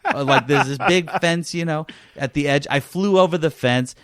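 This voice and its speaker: A man's voice: a little high, moderate pace, a bit bright, no echo, clean studio sound. A man hosting a casual gaming podcast, telling stories in an enthusiastic, emphatic way. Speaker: podcast host.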